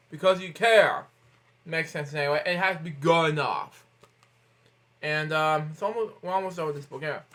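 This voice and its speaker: A man with a somewhat high voice hosts an informal bedtime story reading. He moves through the text haltingly, repeating himself and dropping in frequent interjections and asides. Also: a bit bright. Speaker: host of a bedtime story read-aloud series. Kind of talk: informal picture-book read-aloud with asides.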